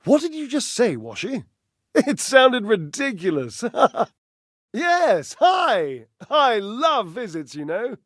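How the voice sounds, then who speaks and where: A flamboyant game socialite, high and very lively in pitch. The delivery is slow and savoring, teasing and condescending. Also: male voice, no room sound, clean studio sound, exaggerated voice. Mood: teasing, gleeful. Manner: playful, teasing, theatrical. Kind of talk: video game character acting.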